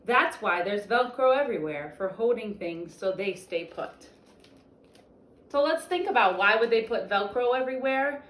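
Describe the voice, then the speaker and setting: A young woman teacher reading a picture book aloud to children, stopping for chatty explanations. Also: mid-range voice. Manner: expressive storybook reading broken up by chatty explanations. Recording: echoey room.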